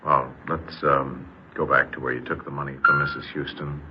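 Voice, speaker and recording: An actor playing a calm, patient interviewer in a 1950s radio drama, measured and gently probing. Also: male voice, a bass voice. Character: calm, patient male interviewer drawing out a confession. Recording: very noisy, muffled old radio broadcast.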